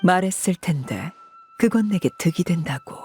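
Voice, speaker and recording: A woman voicing a cool, composed matriarch in an anime game, speaking in a measured, formal and aloof manner. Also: on the low side, expressive, darkish tone, faint music behind. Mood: stern, dry. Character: cool, commanding woman, composed head of an orphanage family.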